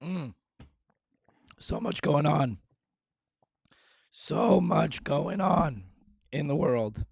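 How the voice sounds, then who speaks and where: A man hosting a live stream, his voice hoarse and raspy, talking low and clearing his throat, making fun of how he sounds. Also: expressive, extremely slow, pauses often, darkish tone, dry recording. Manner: self-deprecating, frequent throat clearing. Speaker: show host. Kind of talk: casual live-stream chat.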